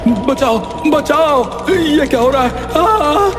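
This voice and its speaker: A man's voice: very high-pitched, expressive, extremely slow, faint music behind, heavily acted. A man voicing a nervous lone traveller in a cartoon, dramatically talking to himself. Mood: panicked. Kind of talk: Hindi cartoon voice acting.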